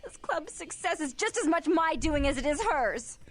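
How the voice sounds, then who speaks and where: High-voiced, brazen vampire woman. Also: lively intonation, moderate pace. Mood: hurt, resentful.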